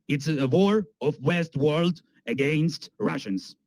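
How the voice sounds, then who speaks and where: Eastern european guy, slightly high voice, halting english, serious political talk, dry sound. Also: expressive, a little mellow, no room sound. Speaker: prank caller posing as a statesman. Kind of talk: political conversation in non-native English.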